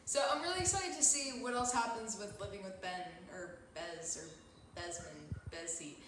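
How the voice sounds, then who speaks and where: A young woman playing a deadpan student in a mockumentary sketch, rambling in a dry, halting way. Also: mid-range voice, lightly acted. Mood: dry teasing. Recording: very echoey room, distant, faint noise.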